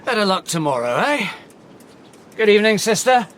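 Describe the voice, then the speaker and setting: Sly, folksy landlord, high voice that swings up and down, faint hiss. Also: male voice, big pitch swings, normal speed, a bit bright. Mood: teasing. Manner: chatty, teasing, folksy. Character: jovial nosy male landlord, sly and teasing. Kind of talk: radio drama acting.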